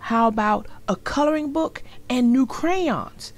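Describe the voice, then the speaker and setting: A woman's voice: on the high side, unhurried, pitched above the performer's own voice, lightly acted. A woman voicing an anxious young schoolboy in a storybook, an exasperated older brother with an earnest, pleading manner.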